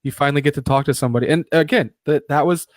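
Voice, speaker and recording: A man co-hosting a podcast in casual live-stream chat, often halting and restarting his sentences and swearing casually. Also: fairly high pitch, dry recording, studio quality. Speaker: podcast co-host.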